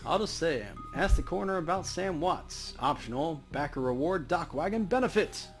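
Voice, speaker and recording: A man's voice: a little high, animated, moderate pace. A man hosting a let's play, joking informally as he comments and reads dialogue aloud. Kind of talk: casual game commentary and reading dialogue aloud. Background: game music.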